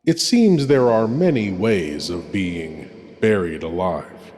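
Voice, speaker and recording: A man playing a sinister, wry horror host, narrating in a theatrical, deliberate and ominous manner. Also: deep voice, lively intonation, a little mellow. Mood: wryly ominous. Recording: long cavernous reverb with music.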